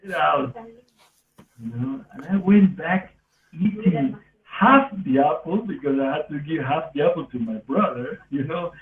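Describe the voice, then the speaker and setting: A man's voice: a little high, a little slow. A man with an Argentinian accent, a native Spanish speaker, telling personal stories in earnest, rambling, non-native English on a podcast. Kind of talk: casual autobiographical storytelling. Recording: roomy, distant, phone-like thin sound.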